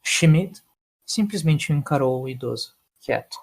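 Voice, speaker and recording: Man narrating dramatically, slightly high-pitched. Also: animated, unhurried, creaky. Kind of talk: scripted dramatic story narration.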